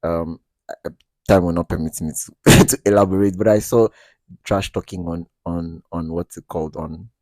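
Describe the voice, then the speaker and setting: A low-pitched man chats casually on a podcast. He talks with lively, defensive energy, laughs easily and trips over his own restarts. The sound is close and dry. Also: normal speed, dry recording. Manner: animated, defensive, laughs often, many restarts. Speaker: podcast co-host. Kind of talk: casual chat.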